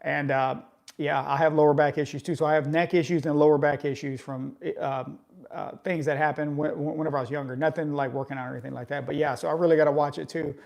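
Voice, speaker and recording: A man in his forties with a slightly higher voice than most men talks fitness with his live audience. He sounds loose and conversational, like a friendly coach. He keeps checking in with listeners, ending points with a quick "right?". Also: flat delivery, gravelly, darkish tone. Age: 40s. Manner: conversational, coaching, often tags remarks with "right?". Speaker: fitness vlogger. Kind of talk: casual live chat with viewers.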